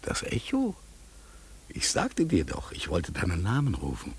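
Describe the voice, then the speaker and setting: An actor playing a solemn, mysterious talking raven in a radio play, with a husky, gravelly voice and a slow delivery. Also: male voice, low-pitched, huge pitch swings, full character voice. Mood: gentle. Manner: slow, solemn, sometimes calling out or whispering. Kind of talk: German radio play acting.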